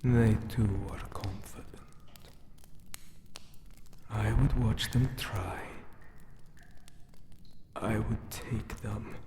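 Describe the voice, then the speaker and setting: A man voicing a lonely, ancient male jackal-god monster guarding a tomb, whispering breathily in a solemn, formal ASMR roleplay with deliberate pauses. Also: lowish voice, noisy background. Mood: musing, wistful.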